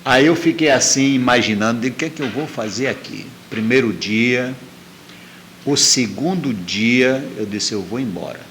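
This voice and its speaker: A man's voice: medium pitch, moderate pace, a bit bright. Man telling his story, slight noise. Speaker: interview guest. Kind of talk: personal storytelling.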